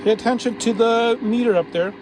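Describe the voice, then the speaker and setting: A man casually narrating a hands-on radio repair tutorial while he works, relaxed and restarting his phrases often. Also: very high-pitched, brisk. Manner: relaxed, talks while working, frequent restarts. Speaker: vintage radio repair hobbyist. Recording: audible background noise.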